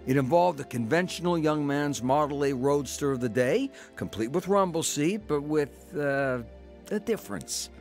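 A man's voice: a little high, expressive, moderate pace, faint music behind. An actor playing a grown son who narrates his crooked youth and war years in a scripted radio drama, plain-spoken and matter-of-fact. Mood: reflective.

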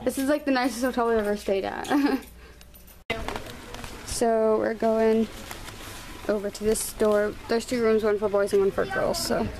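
A woman's voice: a little high, steady tone, measured pace, pauses often. A young woman rambling casually as she vlogs on a handheld camera, with lots of fillers. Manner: chatty, rambling, filler-heavy. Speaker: vlogger. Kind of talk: casual vlog chat. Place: bedroom, then car and hotel room. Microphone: handheld camera at arm's length. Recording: noisy handheld camera audio.

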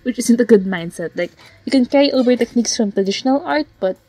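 A slightly hoarse woman casually narrating her digital painting process, rambling with fillers and self-corrections. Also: a little high, expressive, fast, mellow, soft background music. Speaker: digital artist narrating a speedpaint. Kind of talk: casual voiceover commentary. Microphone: home voiceover mic.

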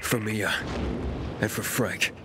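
A man's voice: fairly low pitch, slow. A man playing a loyal, determined young survivor in a video game, earnest and urgent. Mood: resolute. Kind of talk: video game dramatic acting. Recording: noisy game action mix.

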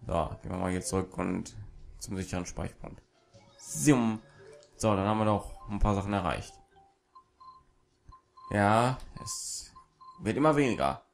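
A man's voice: fairly low pitch, animated, moderate pace, creaky, long pauses. A man with a German accent gives casual let's play commentary as the host. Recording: music behind the voice. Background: video game music.

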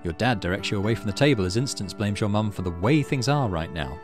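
A man hosting a gaming video, mixing casual commentary with expressive reading aloud of story text. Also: fairly low pitch, quick pace, over music.

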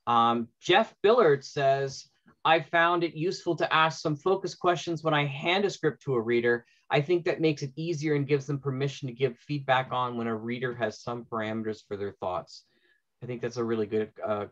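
A man's voice: medium pitch, moderate pace, a bit bright. A man moderating a panel discussion, reading out comments and inviting replies. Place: indoors at home, on a video call. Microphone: video call microphone. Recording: dull lo-fi video call audio.

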